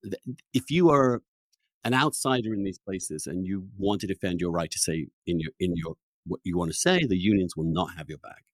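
Man talking in halting, restarting bursts. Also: deep voice, no echo. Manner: halting, repeats and restarts phrases. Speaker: podcast host. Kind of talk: conversational interview with opinionated commentary.

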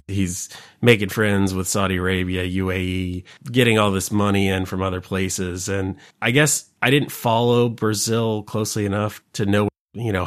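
A man interviewing a guest on a podcast, phrasing his questions conversationally in a tentative, roundabout way. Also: sits low.

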